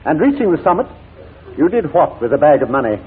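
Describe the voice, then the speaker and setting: An actor playing a relentless, theatrical defense barrister who questions formally and insistently in a 1940s radio courtroom drama. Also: male voice, fairly high pitch, sing-song, fairly quick, breathy edge, full character voice. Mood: pressing, insistent. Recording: muffled, narrow-band 1940s radio broadcast.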